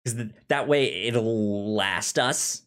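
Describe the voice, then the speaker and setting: A man's voice: fairly low pitch, slow, a bit bright. A man co-hosting a podcast in casual pop-culture chat, rambling and repeating words and phrases as he goes. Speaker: podcast co-host.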